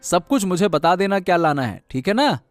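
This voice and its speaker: A man's voice: high voice, sing-song, moderate pace, faint music behind. A man playing a bossy but caring husband in an acted story, chatty, emphatic and affectionately nagging. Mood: affectionate, planning. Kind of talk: acted Hindi audio story dialogue.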